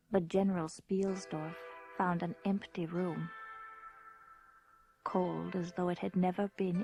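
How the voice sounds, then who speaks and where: A woman playing a haunted, wistful young gentlewoman, narrating in hushed, halting tones in a radio drama. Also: mid-range voice. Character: haunted, wistful young gentlewoman telling her gothic tale.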